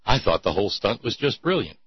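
A man hosting a talk show with a sardonic, mocking manner, reading listener notes and poking fun at what he reads. His voice is slightly husky and sits at an ordinary pitch. The mic sounds close and dry, with a slightly dull, muffled tone. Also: big pitch swings, brisk, no room sound. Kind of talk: irreverent call-in talk show.

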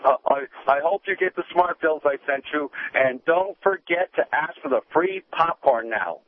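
Male caller, joking and rambling, slightly high voice, tinny phone line, faint hiss. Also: animated, moderate pace. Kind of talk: phone call to a radio show.

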